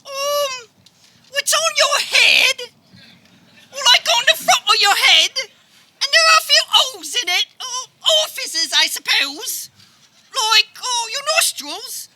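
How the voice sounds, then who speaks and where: A woman playing a dim, cowardly, excitable male suitor in a live radio farce, with a hesitant, literal-minded delivery. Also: very high-pitched, full character voice. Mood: flustered, pleased. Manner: hesitant, literal-minded, exclamatory, drawn-out words. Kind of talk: comic radio theater acting before a live audience. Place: backyard, before a live audience. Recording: noisy live backyard recording.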